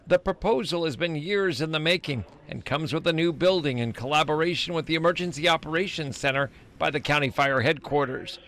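Bright, high-voiced American male news reporter. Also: fairly quick, hardly any pauses. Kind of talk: live field report and scripted news narration. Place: outside a fire station on a street. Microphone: handheld reporter microphone. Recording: outdoor live report with street ambience.

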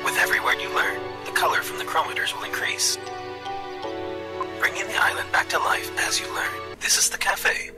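A man voicing a guide robot in a language-learning game, calm and instructive, with a whispery, breathy delivery over game music. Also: a little high, a little fast. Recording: game audio with background music.

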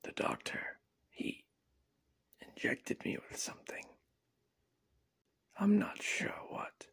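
A man playing a frightened, weakened captive reporter in a whispered ASMR horror drama, halting and melodramatic, with a breathy, raspy voice. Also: a bit bright. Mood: dazed, pained. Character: frightened, captured male reporter, weakened and in pain.

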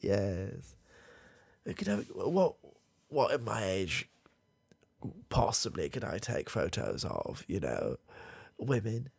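A young man voicing a confused, rambling old grandfather with a gravelly, husky voice, in a comedy ASMR roleplay recorded close in a small dark room. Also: deep voice, sing-song, no echo, exaggerated voice. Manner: rambling, halting, trailing off, chuckling. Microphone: close studio mic, camera near face.

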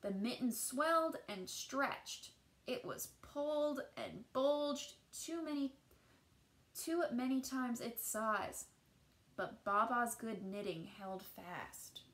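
A woman in her thirties reads a picture book aloud to children like a teacher, with deliberate, expressive emphasis on key words. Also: fairly high pitch.

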